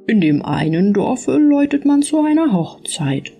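A woman voicing a calm, kindly, all-knowing Lord in a fairy-tale audiobook, measured and patient. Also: fairly high pitch, faint music behind, voice pushed up.

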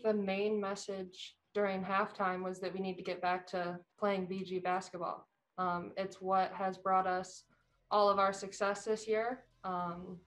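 A woman basketball player giving measured answers in a post-game interview. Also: medium pitch, poor audio.

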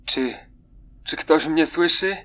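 A man's voice: fairly high pitch, slow pace, sharp tone, voice pushed up. A man voicing a terrified, desperate male squad agent in an audio horror story. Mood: despairing. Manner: stammering, panicked.

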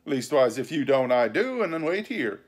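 A man reading a storybook, voicing a sly, scornful pirate captain who speechifies in rough nautical slang with sarcasm and bluster. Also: medium pitch, very animated, normal speed, voice pushed up. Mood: defiant. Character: sly, scornful, commanding male pirate captain and sea cook.

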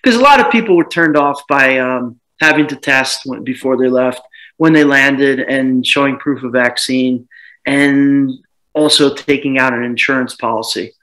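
A man in his thirties from the United States, chatting on a video call about selling his home, rambling with lots of restarts and animated bursts. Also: mid-range voice, normal speed, poor audio. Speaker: home seller. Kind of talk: casual video call chat. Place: dim living room with sofa. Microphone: laptop webcam on video call.

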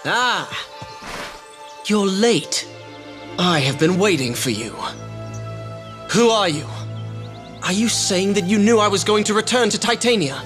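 An actor voicing an earnest young prince cursed into a small rabbit-eared creature, with formal, old-fashioned speech, in a game cutscene. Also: male voice, high-pitched, lively intonation, a little slow, pauses often, a bit bright. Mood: determined. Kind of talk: video game cutscene dubbing. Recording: game audio with music behind.

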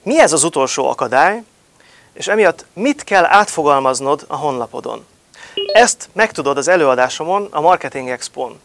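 A man's voice: fairly high pitch, animated, a bit bright. A man in his thirties giving a promotional talk to camera, emphatic and full of rhetorical questions, recorded on a lapel mic in a studio. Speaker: marketing speaker.

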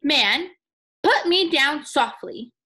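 A woman voicing bossy, indignant talking objects in a folktale, such as a tree or a stone, with drawn-out commanding delivery. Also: sits high, animated, sharp tone, heavily acted.

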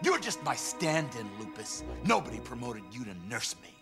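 An actor playing a volatile, desperate movie star in an animated series, with rough, snappish tough-guy talk. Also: medium pitch, moderate pace. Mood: bitter, weary. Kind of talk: dramatic animated series acting. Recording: loud music under the dialogue.

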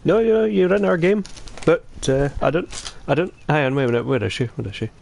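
A man's voice: fairly high pitch, very animated, fairly quick, creaky, a little mellow, slight background noise. A man from Glasgow with a Scottish accent, chatting casually and jokily as he plays an online game on a livestream. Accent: Glaswegian Scottish. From Glasgow, Scotland. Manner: jokey, readily amused. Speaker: gamer on a livestream.

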